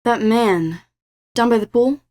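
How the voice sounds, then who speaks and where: An actress playing the devil's daughter, a self-possessed and predatory succubus, in an audio drama. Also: female voice, fairly high pitch, unhurried, a little mellow, natural voice. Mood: casual, curious.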